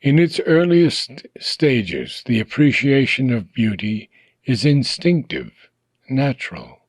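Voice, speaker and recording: A man reading a philosophical book aloud for an audiobook, in slow, measured phrases that trail off at their ends. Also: mid-range voice, very animated, breathy edge, no echo.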